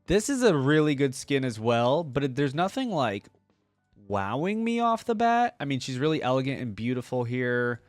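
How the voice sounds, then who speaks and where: Male video creator, mid-pitched, informal reaction commentary, thinks aloud and exclaims, dry recording. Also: animated, normal speed, no room sound, soft background music.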